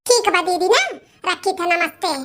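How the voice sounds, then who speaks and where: A woman's voice: very high-pitched, moderate pace, a bit bright. A woman voicing a scheming, greedy cartoon mother-in-law with an Awadhi accent, dramatic and gossipy. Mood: smug scheming. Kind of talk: amateur cartoon dialogue.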